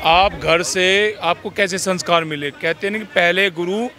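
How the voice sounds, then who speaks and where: A man holds forth in a street interview with a high, sharp-edged voice. He lectures in a preachy way, punctuating his points with small rhetorical check-ins. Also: steady tone, moderate pace.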